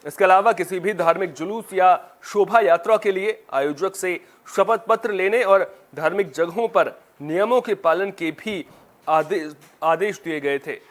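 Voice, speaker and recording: A male news anchor with a notably high-pitched voice reads from a script. His delivery is formal and presentational, in the style of a television bulletin. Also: unhurried.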